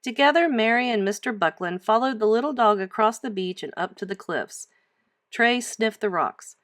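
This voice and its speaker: Very animated woman reading a storybook. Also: on the high side, moderate pace, no echo, studio quality. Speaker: teacher reading aloud. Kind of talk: expressive children's storybook read-aloud.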